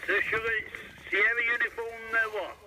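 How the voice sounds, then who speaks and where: A man's voice: very high-pitched, fairly even intonation, moderate pace. A British man heard over CB radio, running a net with informal, rambling calls and chat. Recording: very noisy, distant CB radio reception.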